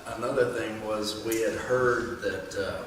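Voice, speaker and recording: A man's voice: fairly low pitch, fairly even intonation, measured pace. A man explaining his script casually as a writer on a panel. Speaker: screenwriter. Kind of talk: panel question-and-answer, casual. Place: indoor room at a table read event. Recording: distant, very echoey, noisy room.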